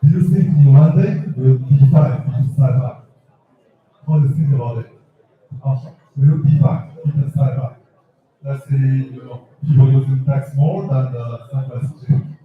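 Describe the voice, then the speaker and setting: A man's voice: medium pitch, unhurried, distant mic. A man moderating a panel, putting questions to the speakers in casual language. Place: event hall panel with audience. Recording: phone-like sound, very echoey hall.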